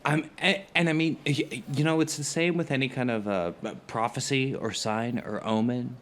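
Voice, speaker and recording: A man playing an earnest, wordy skeptic in an audio drama, arguing in a halting, stammering, emphatic way. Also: medium pitch, fairly even intonation, slight background noise, natural voice. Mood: earnest, flustered. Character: earnest, skeptical, rationalist male dinner companion, wordy and flustered. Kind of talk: conversational audio drama acting.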